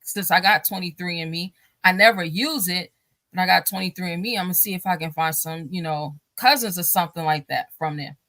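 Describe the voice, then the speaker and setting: A woman with a lowish voice talks in a loose, rambling way, circling back and restarting her sentences with plenty of fillers. She laughs easily as she goes. The sound is dry and close, with no room around it. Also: fairly quick, a bit bright, dry recording. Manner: laughs often while talking. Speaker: guest. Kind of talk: casual talk show conversation.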